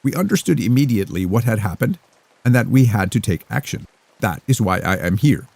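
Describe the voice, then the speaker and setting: A man voicing a calm, mysterious young woman who speaks formally and rhythmically. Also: lowish voice, gravelly, no room sound, full character voice. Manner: formal, rhythmic, unhurried delivery.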